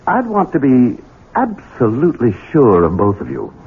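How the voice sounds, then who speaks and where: A man's voice: mid-range voice, huge pitch swings, normal speed. A man playing a coldly cruel, scheming heir in a 1970s radio drama, taunting and theatrical. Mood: sly, insinuating. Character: menacing, scheming Southern heir, adult son, coldly cruel. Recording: tinny, band-limited 1970s radio with hiss.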